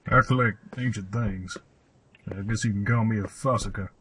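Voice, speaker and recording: A man playing an old, knowing hermit in a radio play, speaking in an unhurried, musing way with a husky voice. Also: fairly low pitch, steady tone, fairly bright tone, slight background noise, close to an everyday voice. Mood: musing, matter-of-fact. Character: old hermit fossicker, knowing, quietly amused gear fanatic.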